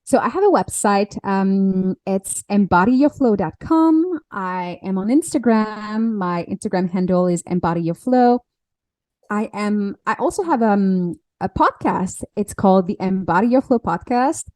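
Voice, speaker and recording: A woman's voice: medium pitch, animated, a little slow, darkish tone, dry recording. A woman whose first language is French, a podcast guest and alignment coach, talking casually in English with many fillers and restarts. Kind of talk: casual interview chat.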